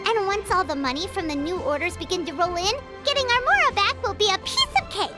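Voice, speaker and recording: A woman's voice: very high voice, expressive, a little fast. A woman voicing a small, cheerful female companion creature who talks eagerly in a game, with music underneath. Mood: bubbly. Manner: bubbly, eager, chatty. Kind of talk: game voice acting. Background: game background music.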